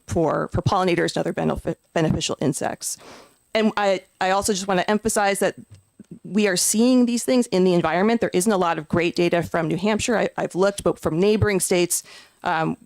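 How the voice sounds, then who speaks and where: A witness testifying before a legislative committee in an earnest, emphatic way, often pausing on fillers and restarting sentences. The voice has a gravelly, creaky edge and mid-range pitch. It sounds dry, with almost no room echo. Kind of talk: public testimony.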